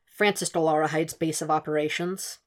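A woman reading a quiet, weary man. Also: low voice, steady tone, fairly quick, lightly acted. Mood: guarded. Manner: hushed, clipped replies. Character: weary, guarded adult man, quiet and bitter.

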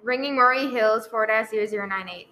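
A woman's voice: fairly high pitch, a little fast, sharp tone. A woman playing a polite, unruffled telephone operator with formal manners in a radio play. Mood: polite, routine. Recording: noisy recording with clicks.

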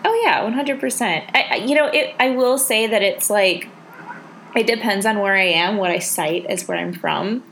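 A woman's voice: medium pitch, moderate pace, fairly bright tone, slight background noise. A woman from South Dakota co-hosting an arts podcast, chatting casually with lots of fillers and easy laughs. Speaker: podcast co-host. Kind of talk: casual chat.

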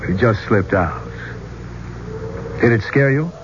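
An actor playing an affable but hot-headed archaeologist with a casual, blunt manner in a 1970s radio drama. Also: male voice, fairly low pitch, animated, slow. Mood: friendly. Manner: casual, plain-spoken, blunt. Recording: muffled, noisy 1970s radio recording.

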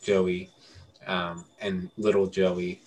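A man's voice: low voice, flat delivery, drawn-out words, darkish tone, rough recording. Young man chatting over background noise. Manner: slangy, conversational. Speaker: podcast co-host. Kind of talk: casual storytelling chat among friends. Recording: clear background noise and ambience.

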